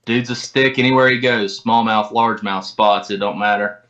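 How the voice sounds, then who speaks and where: A man with a fairly low but bright, forceful voice chats informally as a podcast guest. His phrasing is folksy and conversational. The sound is slightly dull and lo-fi. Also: steady tone, normal speed. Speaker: podcast guest, tournament kayak angler. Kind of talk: casual interview chat.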